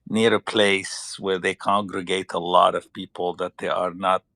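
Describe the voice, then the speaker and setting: A man with a Lebanese accent telling a story in casual talk, halting and searching for words. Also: low voice, little pitch movement, creaky, sharp tone, dry recording. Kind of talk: casual anecdote on a panel.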